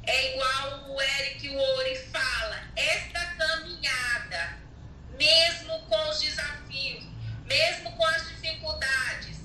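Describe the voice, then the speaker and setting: A woman from Alagoas in Brazil, possibly, speaking slowly and haltingly with many pauses as a guest in a casual interview. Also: sits high, sharp tone, slight background noise. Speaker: network marketing participant, guest. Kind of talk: hesitant conversational testimony. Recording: echoey distant mic with faint ambience.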